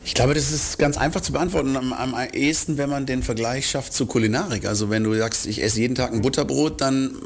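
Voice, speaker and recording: A male singer answering interview questions in a relaxed, rambling way, often correcting himself mid-sentence. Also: medium pitch, fairly quick, hardly any pauses. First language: German. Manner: rambling, self-correcting, chatty.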